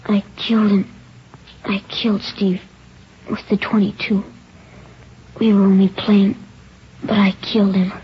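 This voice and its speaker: A performer playing a guilt-stricken nine-year-old boy in an old radio drama, halting, breathy and pleading. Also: mid-range voice, flat delivery, lightly acted. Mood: guilty, scared. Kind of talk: 1950s radio drama acting. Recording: dull, noisy old radio recording.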